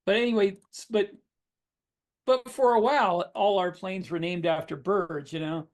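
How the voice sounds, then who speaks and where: A man co-hosting a podcast, talking informally and haltingly, often repeating his words. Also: high-pitched, fairly even intonation. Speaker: podcast co-host, science fiction author. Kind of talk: casual podcast chat.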